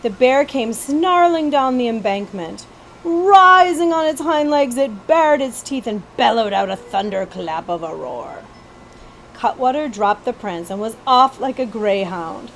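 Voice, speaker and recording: A woman narrates a children's book in a slightly high voice. Her delivery is expressive, with the odd stumble, and there is faint noise behind her. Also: a little slow, poor audio. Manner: animated storytelling with occasional stumbles and asides. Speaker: librarian reading a children's book aloud.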